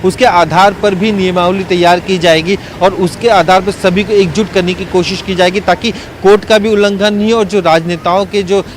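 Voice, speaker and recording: A man reporting live from the field, speaking off the cuff and haltingly with frequent filled pauses. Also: high voice, steady tone. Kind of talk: unscripted live news report. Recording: outdoor city traffic noise.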